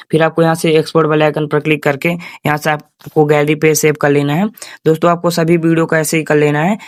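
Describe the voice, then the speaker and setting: Higher-pitched, even-toned male tutorial host. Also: no room sound, clean studio sound. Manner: repetitive instructional phrasing, addresses viewers as friends. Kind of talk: informal step-by-step screen tutorial.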